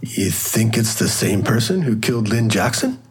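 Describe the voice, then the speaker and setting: A man's voice: on the low side, normal speed, husky, faint music behind, full character voice. An actor playing a quirky, chatty male medical examiner in an audio drama. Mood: clinical. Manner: quirky, animated. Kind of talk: full-cast audio drama acting.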